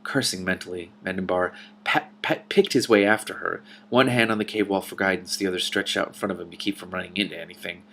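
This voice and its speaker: A man reading a novel aloud and adding his own commentary, with an expressive, animated delivery. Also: sits low, fast talker, gravelly, a little hiss or hum. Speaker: reader and commentator.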